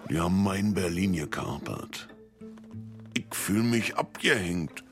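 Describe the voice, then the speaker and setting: Slow, sulky washed-up male celebrity. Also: low-pitched, expressive, faint music behind, heavily acted. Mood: bitter, wallowing. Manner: slow, plaintive, dramatic, sulky. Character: self-pitying, whiny washed-up male media celebrity. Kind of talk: comedy mockumentary acting.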